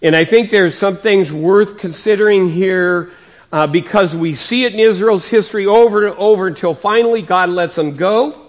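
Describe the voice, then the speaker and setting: Slightly raspy male preacher, higher-pitched. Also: normal speed. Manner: conversational, with many fillers and restarts; rises and gets louder for emphasis. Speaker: pastor. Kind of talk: sermon.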